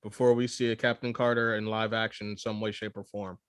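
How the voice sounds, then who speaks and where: A man's voice: lowish voice, little pitch movement, a little fast, clear, resonant tone, dry recording, clean studio sound. A man co-hosting a casual pop culture chat, emphatic and repeating phrases to press his point. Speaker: podcast co-host.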